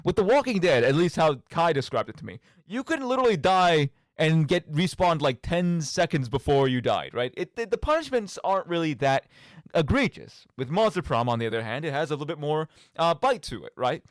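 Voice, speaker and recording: A man's voice: on the high side, a little fast, dry recording. A man hosting a show, casually rambling about video games in an emphatic way and often checking that listeners follow. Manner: animated, emphatic, often seeking agreement with "right?". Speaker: podcast and radio show host.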